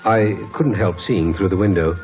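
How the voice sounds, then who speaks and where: A man playing a polite but disdainful young gentleman with refined, proper speech in a 1950s radio drama. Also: deep voice, normal speed, natural voice. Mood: disapproving. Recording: muffled old radio broadcast with faint music.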